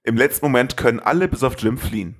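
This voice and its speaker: A man's voice: mid-range voice, fast talker, a bit bright. A man hosting a podcast, reading a scripted film summary as a narrator. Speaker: podcast host.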